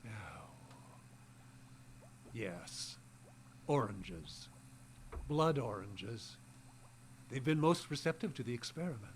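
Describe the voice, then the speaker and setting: A man playing an eccentric, testy old botanist in a radio horror drama, husky, absent-minded, stammering and repeating himself. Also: medium pitch, big pitch swings, slow, pauses often, a little hiss or hum. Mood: obsessive, explaining.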